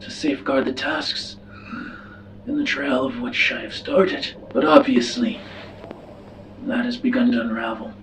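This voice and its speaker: Slow, solemn alien statesman played by a man. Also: medium pitch, sing-song, gravelly, fairly bright tone, recorded from a distance, a little hiss or hum, exaggerated voice, low-quality recording. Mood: solemn, calculating. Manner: formal, solemn, measured speech. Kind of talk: in-character tabletop roleplay.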